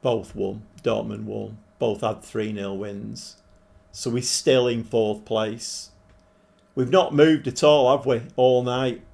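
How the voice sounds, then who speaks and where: A man with a croaky, hoarse voice, chatting casually as he streams a game and thinks aloud. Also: medium pitch, fairly even intonation, takes it slowly, a little mellow. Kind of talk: casual live-stream commentary. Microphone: desk microphone.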